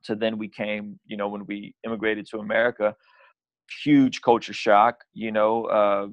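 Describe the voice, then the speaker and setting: A man's voice: fairly low pitch, level tone, moderate pace, no room sound, highs cut off. A young man from Azerbaijan, a guest on a podcast, talking casually and rambling with lots of "you know" and "like.". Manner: rambling, restarts often, leans on "you know" and "like". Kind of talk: casual interview chat.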